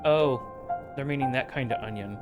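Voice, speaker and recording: A woman's voice: rumbling low, moderate pace. A woman co-hosting a video game playthrough with casual commentary. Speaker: gaming show co-host. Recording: loud background game music.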